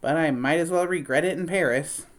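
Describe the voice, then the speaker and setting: A woman reader plays an exasperated young nanny from a storybook. She is indignant and sarcastic, with a shrill edge to her complaints. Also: fairly quick, natural voice. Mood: grudging.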